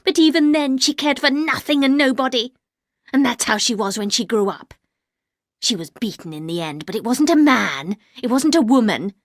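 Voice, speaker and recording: A woman voicing a bitter, grieving older housekeeper who speaks in a harsh, cold, slow and accusing way. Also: on the high side, expressive, no echo, clean studio sound, pitched above the performer's own voice.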